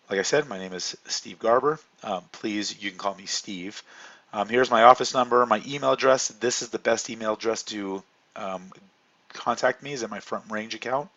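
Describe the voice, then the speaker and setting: A man with a hoarse voice from a cold, a chemistry instructor giving a relaxed, rambling course introduction full of fillers. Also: lowish voice, highs cut off.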